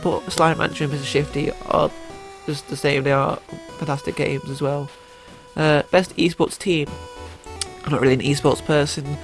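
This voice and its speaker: A man's voice: fairly high pitch, flat delivery, normal speed, faint music behind. A young man with a cold and a stuffy voice, chatting casually through his picks with lots of fillers and restarts. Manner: rambling, many fillers and restarts. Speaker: YouTuber. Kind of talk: casual gaming commentary.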